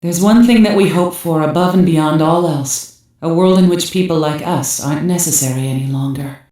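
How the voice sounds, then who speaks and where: A woman's voice: low voice, moderate pace, dark tone, distant mic, natural voice. A woman playing a cool, authoritative cyborg special-operations commander in an English-dubbed anime game, clipped and composed. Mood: resolute. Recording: roomy heavy echo, off mic.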